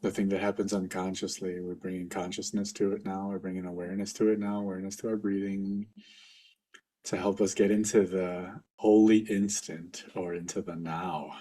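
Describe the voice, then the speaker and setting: A man leading a guided meditation for a group as facilitator, speaking informally in a gentle, reassuring, unhurried way. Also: low-pitched, little pitch movement, slightly rough.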